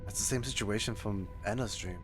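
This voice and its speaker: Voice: medium pitch, a little fast, soft background music. A streamer giving casual, emotional reaction commentary over a game, given to exclamations.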